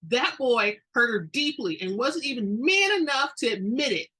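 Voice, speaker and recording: A fierce, protective keeper of a magic shop, acted by a woman reading a novel aloud. Her voice is sharp and raspy, with an indignant, hot-tempered push behind it. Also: on the high side, big pitch swings, moderate pace, pitched above the performer's own voice, full character voice, poor audio. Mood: angry, indignant. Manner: forceful, indignant.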